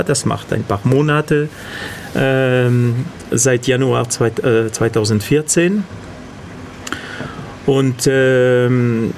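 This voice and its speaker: Spanish-accented man, halting German. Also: medium pitch, a little hiss or hum. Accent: Spanish, with French influence. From Catalonia, Spain. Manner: hesitant, many "äh" fillers, searching for words. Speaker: guest, association organizer. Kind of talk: interview answers.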